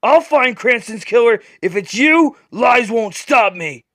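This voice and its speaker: A man puts on a masked comic book hero who is angry and will not back down. He pushes out forceful accusations, and his pitch rides high for a male. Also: expressive, unhurried, dry recording, studio quality, heavily acted. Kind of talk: comic book dramatic reading.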